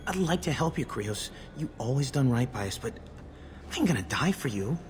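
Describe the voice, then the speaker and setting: An actor playing a nervous young petty criminal in a video game, with slangy, ungrammatical street talk. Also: male voice, medium pitch, lively intonation, normal speed, slight background noise, lightly acted. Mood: scared, reluctant.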